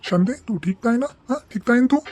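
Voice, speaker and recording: Man playing a high-voiced, anxious village husband. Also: brisk, darkish tone. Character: worried village husband and farmer searching for missing wife. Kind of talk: Marathi cartoon comedy dialogue.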